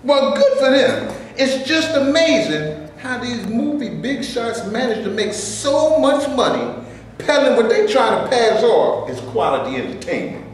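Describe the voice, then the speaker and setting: A roomy, distant recording of a staged reading with faint noise. An actor plays an ambitious, resentful man who dreams of making movies. His voice is high for a man and moves up and down in an animated way. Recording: echoey room, distant mic, faint noise.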